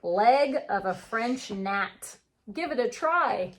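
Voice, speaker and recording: A woman voicing a jaunty old grandpa spider from a storybook in a slow, showy manner. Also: a little high, animated. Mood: playful.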